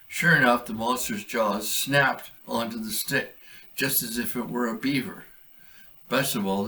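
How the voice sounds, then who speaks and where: A man reading aloud a short story he wrote, in a measured, deliberate way. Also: mid-range voice, pushed and bright, far from the mic, poor audio. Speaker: author reading his own story.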